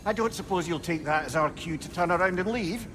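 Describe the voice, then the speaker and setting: A man playing a wry, talkative older companion in a video game, with a gently teasing manner. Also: sits high, fairly quick, background noise, natural voice. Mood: dry. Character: wry, chatty, loyal older male companion, gently needling. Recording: loud game music under the voice.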